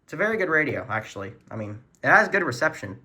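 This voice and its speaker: A man's voice: a little high, very animated, fast talker, sharp tone. A man hosting a casual show-and-tell in his room at home, talking in an informal, earnest hobbyist way. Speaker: video host showing a weather radio collection.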